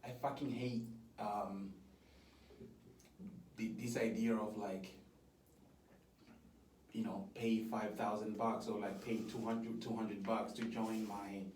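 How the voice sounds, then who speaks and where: A man's voice: lowish voice, little pitch movement, slow, long pauses, darkish tone. Guy explaining stuff earnestly in a casual chat, echoey room, faint noise behind. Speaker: interviewer and creator of a brand project. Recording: roomy, distant mic, faint background noise.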